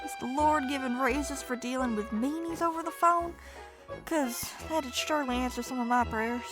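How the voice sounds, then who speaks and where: A woman playing a polite, patient receptionist with a deadpan customer-service manner in an audio drama. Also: high-pitched, moderate pace, music in the background, natural voice. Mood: wry. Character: polite, dryly sardonic female receptionist at a ghost-catching business.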